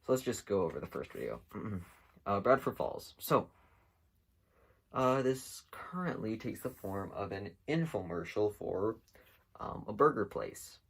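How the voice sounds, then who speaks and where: A man talks through his commentary in a very low voice with a heavy fry. He hosts casually and without a script, taking his time and often pausing as he rambles from thought to thought. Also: big pitch swings. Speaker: host of an internet mystery series. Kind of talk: casual unscripted video commentary.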